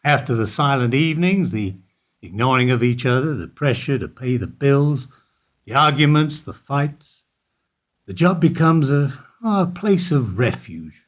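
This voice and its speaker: A man acting in a radio play as an evasive older bank employee who answers in a guarded, deliberate way. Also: mid-range voice, animated, natural voice. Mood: reflective, weary. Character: evasive older male bank foyer employee under questioning.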